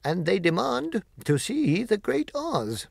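A man's voice: sits high, big pitch swings, takes it slowly, vocal fry, breathy edge, no echo, studio quality, voice pushed up, heavily acted. A man voicing a stern, pompous city gatekeeper who gives grave, theatrical warnings in a storybook reading. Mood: announcing.

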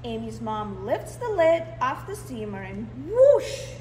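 A woman with a high, very lively voice reads a storybook to small children. She takes her time and leans expressively on key words. The room is echoey. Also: slow. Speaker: library storytime reader. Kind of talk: animated picture-book read-aloud for young children. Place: indoors in a library, seated. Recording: roomy echo, clear background noise.

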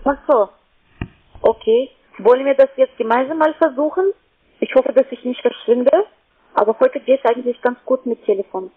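Polite high-voiced woman on a phone line. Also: normal speed, breathy edge. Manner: polite, businesslike. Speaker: call centre sales agent. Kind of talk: scripted-sounding phone sales talk, polite. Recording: thin phone-line sound.

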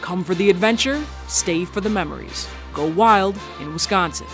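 A woman's voice: on the low side, normal speed, faint music behind. A woman reading scripted narration for a tourism advertisement. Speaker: ad announcer.

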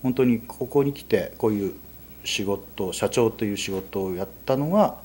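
A man's voice: on the low side, normal speed, warm tone. A middle-aged man from Akita, Japan, chatting modestly and casually as an interview guest. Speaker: interview guest, company president. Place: indoor office, seated at a table. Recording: room recording with background noise.

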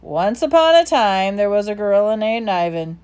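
A woman voicing a gentle, weary male silverback gorilla who talks quietly and hesitantly. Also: mid-range voice, big pitch swings, normal speed, clear, resonant tone, close to an everyday voice. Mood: storytelling.

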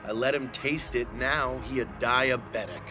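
A man in his thirties giving a deliberate, mock-dramatic reading of rap lyrics. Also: medium pitch. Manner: deliberate, theatrical delivery. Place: outdoors among trees. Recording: music under the voice. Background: music.

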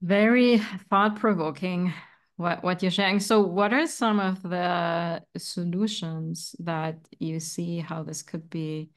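A woman's voice: fairly low pitch, steady tone, slow pace. A woman in her thirties, from Germany, hosting a friendly interview over a video call with many fillers. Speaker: podcast host. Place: home room.

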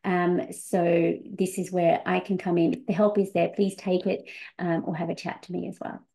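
A woman's voice: fairly low pitch, little pitch movement, moderate pace, warm tone, rough recording. A woman in her forties, a visa coach, presenting a webinar over a video call, earnest with many fillers.

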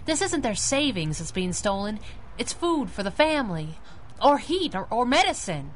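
An actress playing a feisty, protective young crimefighting sidekick with slangy, wisecracking tough talk in a radio drama. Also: female voice, a little high, sing-song, moderate pace, a little hiss or hum. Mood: angry, frustrated. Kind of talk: old-time radio drama acting.

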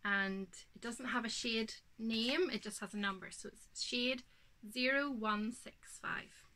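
A woman's voice: on the high side, sharp tone. A woman in her thirties with a Northern Irish accent, chatting casually about her knitting at home, a little snuffly with hay fever and full of ums. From Northern Ireland. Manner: chatty, unhurried, many ums. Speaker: knitting podcast host. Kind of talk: casual show-and-tell chat. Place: home craft room, indoors.

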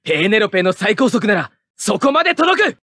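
A man playing a proud, hot-headed young mecha pilot, barking short forceful attack calls in an anime fighting game. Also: high-pitched, big pitch swings, brisk, no echo, studio quality, exaggerated voice. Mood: taunting.

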